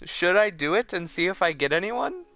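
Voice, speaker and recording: A man chatting casually and excitably to viewers as the host of a gaming livestream. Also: high voice, normal speed.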